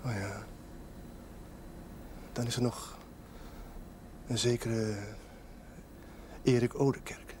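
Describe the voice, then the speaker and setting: An actor playing an embittered, lovesick young male outcast in a television drama, with a slow, brooding, softly taunting, theatrical delivery. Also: medium pitch, steady tone, close to an everyday voice. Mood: menacing longing. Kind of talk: 1970s Dutch television drama acting. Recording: noisy old television soundtrack.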